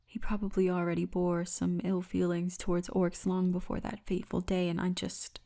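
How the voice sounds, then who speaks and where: Woman acting as orc guardswoman, ASMR roleplay, hushed and breathy, mellow, creaky, wry, gently commanding. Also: lowish voice, completely flat delivery. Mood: wistful, guarded. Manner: slow, hushed, breathy murmur.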